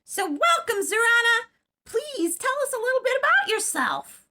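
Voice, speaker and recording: A woman voicing a chirpy, upbeat host character on a children's TV show, introducing a guest in a squeaky, sing-song voice. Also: big pitch swings, normal speed, bright, forceful tone, heavily acted. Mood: cheerful. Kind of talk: scripted kids' TV introduction.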